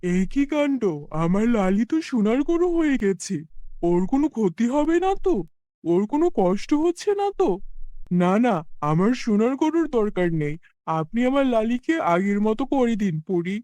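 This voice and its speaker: A man plays a poor cartoon farmer with a very high voice, close and dry. He is kind-hearted and earnest, exclaiming over the cow he loves like family. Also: big pitch swings, moderate pace, mellow, no echo. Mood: alarmed. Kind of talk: cartoon fairy-tale voice acting.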